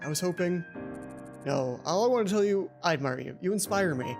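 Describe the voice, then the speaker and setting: Visual novel schoolboy read by a streamer: smitten, sincere, halting delivery, music underneath. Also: medium pitch, animated, creaky. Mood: tender, earnest. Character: earnest, smitten schoolboy confessing his feelings.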